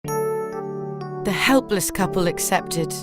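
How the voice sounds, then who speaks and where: A woman's voice: fairly low pitch, extremely slow, over music. A woman narrator reading a scripted fairy tale for children in a storytelling style.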